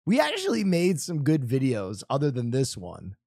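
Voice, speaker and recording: A man in loose, profane chat, cracking jokes with quick asides. His pitch swings widely from line to line, and the sound is dry and close with no room echo. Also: huge pitch swings, gravelly, a little mellow. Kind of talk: casual chat reacting to old videos.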